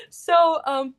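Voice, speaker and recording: A young woman in her twenties from Brazil, with a Brazilian accent, chatting as an interview guest and filling her talk with "like" and "um". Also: extremely high pitch. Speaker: guest, actor. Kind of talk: casual interview chat.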